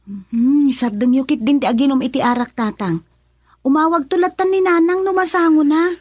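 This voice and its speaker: A woman's voice: high voice, moderate pace, a little mellow, dry recording, studio quality, lightly acted. A woman acting a concerned daughter soothing her father in an Ilocano radio drama. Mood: reassuring.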